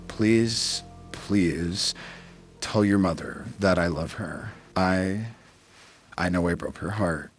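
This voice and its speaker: A man playing a guilt-ridden, middle-aged rich businessman in a dramatic roleplay, speaking haltingly and repeating his words. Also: deep voice, faint music behind, full character voice. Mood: remorseful, pleading.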